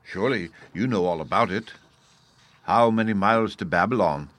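A man's voice: on the low side, normal speed, fairly bright tone, no echo, natural voice. A man voicing a dignified, good-natured young army officer in a storybook, courteous, amused and jovial. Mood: gentle.

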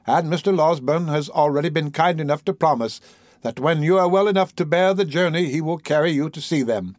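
A man voicing a kind, well-bred young lady in an audiobook, tender and measured. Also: on the high side, a little mellow, dry recording, clean studio sound, pitched above the performer's own voice, natural voice. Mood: kindly. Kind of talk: audiobook character voice.

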